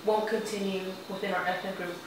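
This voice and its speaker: A woman interviews a guest with polite, scripted-sounding questions. Her voice stays flat and even, and it is picked up from some distance. The room is very echoey. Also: medium pitch, fairly quick, noisy background. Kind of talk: casual student interview.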